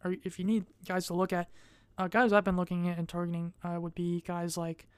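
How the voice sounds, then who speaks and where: A young adult woman vlogging in a casual, rambling way. She speaks in a monotone and hesitates often, with many fillers. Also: lowish voice, a little fast, smooth, dry recording.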